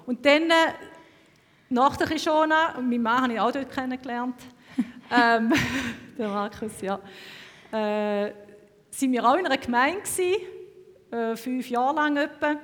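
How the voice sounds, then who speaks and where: A woman's voice: high-pitched, normal speed, long pauses. A woman in her thirties with a Schaffhausen Swiss German accent, introducing herself to a congregation in a chatty, hesitant way. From Canton Schaffhausen, Switzerland. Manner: chatty, hesitant fillers, laughs. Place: church hall. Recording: long hall reverb.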